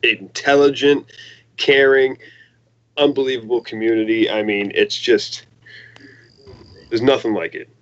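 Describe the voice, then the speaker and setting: A man's voice: medium pitch, little pitch movement, a little slow, pauses often, lo-fi sound. A man on a call-in panel speaking in an earnest, heartfelt way.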